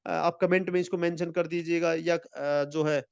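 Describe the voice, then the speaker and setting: A man teaching an exam-prep lecture in a friendly way. He mixes in English and reads the English lines slowly. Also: on the high side, level tone, vocal fry, smooth, no echo, dull audio. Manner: friendly, calls viewers friends.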